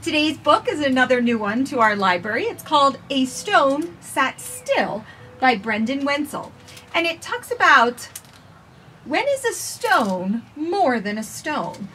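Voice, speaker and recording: A woman in her forties reading a picture book aloud for children with expressive, sing-song phrasing, recorded outdoors on a porch. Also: sits high, a little slow. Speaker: children's storytime reader. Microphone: camera a few feet away. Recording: distant camera mic, faint outdoor noise.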